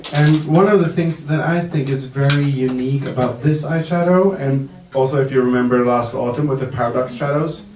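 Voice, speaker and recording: A man's voice: medium pitch, brisk, hardly any pauses, a little mellow, slight background noise. A man working as a makeup artist, giving a live product demonstration to press in a chatty, joking way with many fillers. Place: large indoor press event. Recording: echoey room from a distance.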